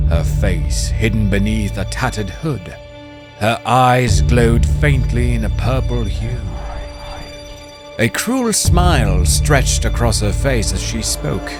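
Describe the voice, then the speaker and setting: Deep, slow male storyteller, lively and dramatic delivery, with music underneath. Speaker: narrator. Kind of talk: scripted audiobook narration. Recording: narration over music bed.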